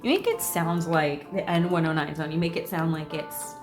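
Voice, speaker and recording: A woman doing casual game commentary and reading lines aloud, expressive, blunt and sassy. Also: sits low, normal speed, music in the background. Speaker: video creator reacting to a game.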